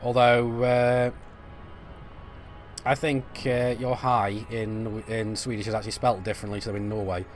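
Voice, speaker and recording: A man's voice: lowish voice, level tone, a little slow, soft background music. A middle-aged man, chatting casually on a live stream while he plays, reading and answering viewer messages with lots of fillers and restarts. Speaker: game streamer.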